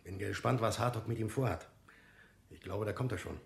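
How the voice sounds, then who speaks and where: An actor playing a gruff, dutiful sergeant of the guard in a radio drama, gravelly, in an echoing room. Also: male voice, fairly low pitch, fairly quick, slight background noise.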